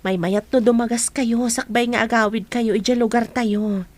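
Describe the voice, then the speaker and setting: A woman's voice: a little high, steady tone, moderate pace, darkish tone, natural voice. An actress playing a kindly, practical woman in a radio drama, with a Filipino accent. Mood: friendly, inviting. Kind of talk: Ilocano radio drama acting.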